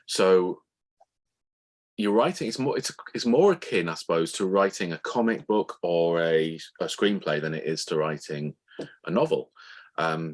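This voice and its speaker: A man speaking as an interview guest, thoughtfully explaining his writing in a conversational way, often rephrasing mid-sentence. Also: deep voice. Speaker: interview guest, author of novels and audio dramas.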